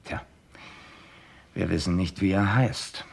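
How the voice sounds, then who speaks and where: An actor playing a calm, courteous, methodical chief inspector in a German radio crime play, speaking in a measured, musing way. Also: male voice, deep voice, creaky, breathy edge, exaggerated voice. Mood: businesslike, pensive.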